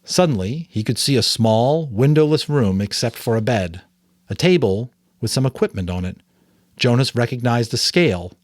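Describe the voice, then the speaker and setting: A man narrating a novel aloud as a dramatic, expressive reading. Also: medium pitch, moderate pace, no room sound, clean studio sound. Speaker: audiobook narrator and voice actor. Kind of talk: dramatic story reading with asides.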